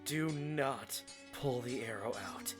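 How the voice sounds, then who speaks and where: A man's voice: mid-range voice, slow, natural voice. A man voicing a wounded, protective young male swordsman who speaks in an urgent, strained way in a fan audio drama. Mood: hissing. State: injured and out of breath. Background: music.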